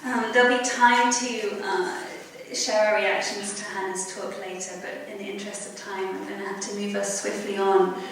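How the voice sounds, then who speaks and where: A woman moderating an event, introducing a panel of speakers in a measured way. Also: medium pitch, little pitch movement, fairly bright tone. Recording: echoey hall from far off, faint noise.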